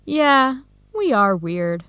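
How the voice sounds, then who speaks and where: Determined young American woman, high voice. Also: expressive, extremely slow, fairly bright tone, no room sound, full character voice. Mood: friendly, at ease. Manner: clear, simple scripted sentences. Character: Helen, determined young American woman searching for birth family. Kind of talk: English-learning radio drama acting.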